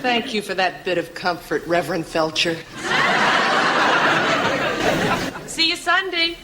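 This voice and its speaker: A woman's voice: medium pitch, sing-song, moderate pace, a loud crowd, lightly acted. A woman playing a melodramatic grieving mother in a soap opera parody, recorded on a noisy old TV comedy clip. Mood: tearful. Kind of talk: theatrical sketch comedy acting.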